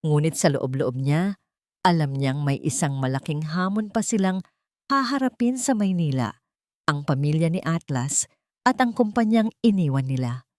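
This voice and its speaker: Female storyteller for romance audio drama, on the lower side, close and dry recording. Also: a little mellow, no room sound. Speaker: narrator.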